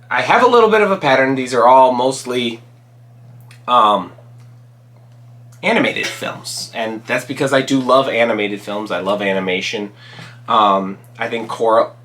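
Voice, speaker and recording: A man hosting his own channel, talking casually through his favorite movies, raspy from a cold and rambling with many ums and restarts. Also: mid-range voice, bright, forceful tone. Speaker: channel host reviewing movies. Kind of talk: casual solo commentary.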